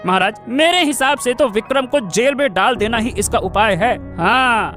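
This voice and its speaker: Cartoon villain: scheming chief minister, very high pitch, menacing, self-important, drawn-out delivery. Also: male voice, lively intonation, pushed and bright, faint music behind, heavily acted. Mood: sly, insistent. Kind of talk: Hindi cartoon story voice acting.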